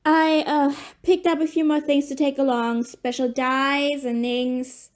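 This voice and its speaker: High-pitched crusty, irritable mother played by a woman. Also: level tone, a little slow. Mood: brusque. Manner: blunt, dismissive. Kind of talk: amateur audio play acting.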